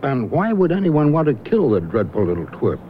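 An actor playing an eccentric, scornful photography instructor in a radio drama, pompous and deliberate. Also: male voice, fairly high pitch, big pitch swings, slight background noise. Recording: thin phone-like old radio, faint music.

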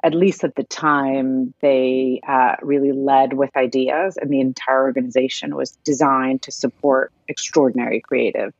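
Low, husky Venezuelan woman on dull audio. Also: fairly even intonation, normal speed, hardly any pauses, no room sound. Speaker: podcast guest, agency executive. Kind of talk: casual conversational interview.

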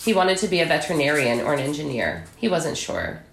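A woman's voice: low voice, very animated, brisk, creaky, roomy sound. An American woman from southern Illinois, an author speaking as a podcast guest. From southern Illinois, United States. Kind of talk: literary reading and interview talk.

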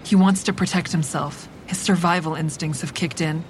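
Drama narrator: woman to play a controlled, wry schemer, slightly low register, first-person storytelling, light background noise. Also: fairly even intonation, moderate pace, natural voice. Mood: cool, calculating. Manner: wry, controlled, matter-of-fact. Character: cool, calculating young woman narrating her own manipulations. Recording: faint traffic rumble behind.

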